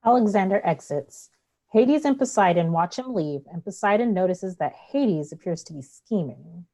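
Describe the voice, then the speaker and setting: A woman's voice: medium pitch, expressive, normal speed, warm tone, low-quality recording. A woman narrating stage directions in a scripted play reading.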